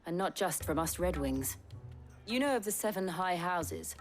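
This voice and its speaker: A woman's voice: on the low side, expressive, normal speed, soft background music, close to an everyday voice. A woman playing a cool, sardonic mercenary type in a medieval fantasy game, with a dry delivery. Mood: haughty, teasing. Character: cool, sardonic female companion posing as mercenary commander. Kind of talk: dramatic video game cutscene dialogue.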